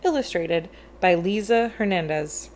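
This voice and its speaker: A woman reading a rhyming children's picture book aloud, with expressive, deliberate phrasing that leans on the rhymes. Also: fairly low pitch, slight background noise. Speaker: read-aloud storyteller.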